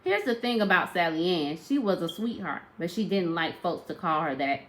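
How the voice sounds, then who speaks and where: A woman narrating a children's tall tale aloud like a teacher, with expressive, emphatic storytelling. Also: on the low side, normal speed.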